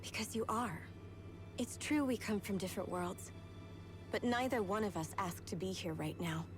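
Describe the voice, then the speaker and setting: A composed young maiden of a fantasy video game, played by a woman, speaking at a middle pitch. She is earnest and dutiful, with a sincere way of talking. Music is clearly heard underneath. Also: moderate pace, close to an everyday voice. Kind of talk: video game cutscene voice acting.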